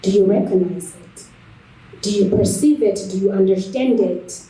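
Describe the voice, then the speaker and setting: A woman delivering a rhetorical spoken word speech in an emphatic, deliberate manner. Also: medium pitch, warm tone. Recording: echoey room, distant microphone.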